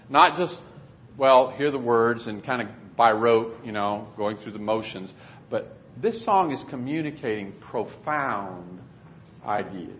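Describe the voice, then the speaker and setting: A man preaching a sermon in a conversational, plainspoken way in a church meeting room. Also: on the high side. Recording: dull lo-fi recording.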